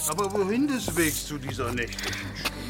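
Menacing sailor ringleader, lively delivery. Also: male voice, a little high, brisk, over music. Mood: taunting, lecherous. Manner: taunting, bullying. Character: menacing, lecherous male sailor thug, bossy ringleader. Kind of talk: radio drama acting.

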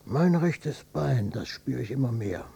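An actor playing a gruff, stubborn old father and war veteran in a German radio drama, with a husky, gravelly voice and a curt, defensive manner. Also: medium pitch, expressive, measured pace, warm tone, slight background noise. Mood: grumbling, sardonic.

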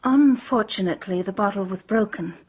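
A woman's voice: medium pitch, a little fast, darkish tone, breathy edge, lightly acted. An actress playing a composed, defiant married woman under suspicion in a radio drama, formal and earnest. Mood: guarded.

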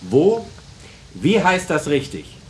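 An actor playing a strict, domineering schoolteacher in a radio drama, barking, sarcastic and pedantic. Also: male voice, on the high side, wildly swooping pitch, takes it slowly, a little hiss or hum, heavily acted. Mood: sarcastic, probing. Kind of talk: German radio drama acting.

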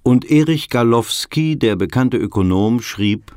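An actor playing a sober documentary narrator, explaining in scripted, matter-of-fact sentences. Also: male voice, mid-range voice, expressive, measured pace, a little mellow, no echo, clean studio sound, lightly acted. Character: sober male documentary narrator of a financial crisis.

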